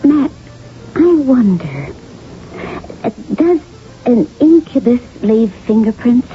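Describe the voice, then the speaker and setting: An actress in an early 1980s radio drama, playing a sly, teasing confidante with a languid, insinuating manner. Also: female voice, a little high, sing-song, slow pace. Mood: sly, insistent. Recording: muffled old radio broadcast, faint hiss.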